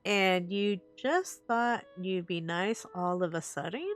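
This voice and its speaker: A woman's voice: on the low side, takes it slowly. A woman game streamer giving casual live commentary on a playthrough.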